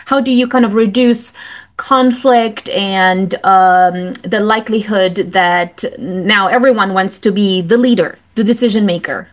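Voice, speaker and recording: A woman's voice: medium pitch, a little slow. A woman from Romania, hosting an interview and speaking directly and frankly. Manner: direct, frank, fillers like "like" and "you know". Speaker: podcast host. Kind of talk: interview questions, casual chat.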